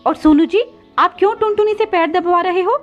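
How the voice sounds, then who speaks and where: A woman's voice: very high voice, fairly quick, voice pushed up. A woman voicing a caring, trusting mother bird, polite and motherly, in a cartoon moral story. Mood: concerned reproach. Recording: slightly dull audio with background music.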